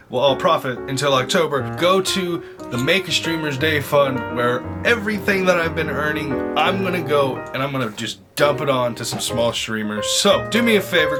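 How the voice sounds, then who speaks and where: Slangy male reaction host over music. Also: a little high. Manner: slangy, swearing, talks like chatting with buddies. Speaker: YouTube reaction host, streamer. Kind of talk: casual reaction commentary.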